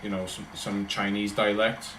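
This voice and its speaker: A man's voice: fairly low pitch, monotone, moderate pace, echoey room, noisy background. A man with a Welsh accent, chatting casually and rambling on a livestream as he answers his viewers. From Wales. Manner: rambling, chatty, talks in loose run-on thoughts.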